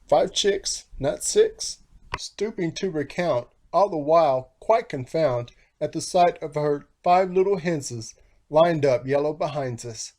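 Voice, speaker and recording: A man reading his own children's storybook aloud in an expressive, sing-song rhyming delivery. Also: a little high, a little slow. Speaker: author narrating own storybook.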